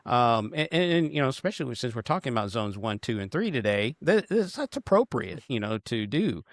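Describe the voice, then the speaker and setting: A male running coach explaining things on a podcast with lots of restarts and playful asides. His voice is mid-pitched and sits dry and close to the mic. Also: very animated, no room sound. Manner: halting, restarts often, joking. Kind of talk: casual podcast discussion.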